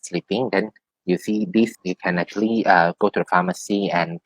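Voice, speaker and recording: A man giving spiritual advice as a tarot reader, explaining things haltingly and often repeating his phrases. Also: low-pitched, steady tone, dry recording, rough recording. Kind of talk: spiritual advice in a one-on-one reading.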